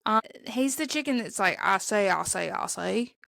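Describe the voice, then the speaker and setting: A young Southern woman from Mississippi chats on a podcast, acting out the lines she quotes. Her voice is gravelly and bright, with a touch of fry. The recording is close and dry, with no room sound. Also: medium pitch, expressive, moderate pace, dry recording. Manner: chatty storyteller, acts out quotes. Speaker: podcast co-host. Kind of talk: casual chat.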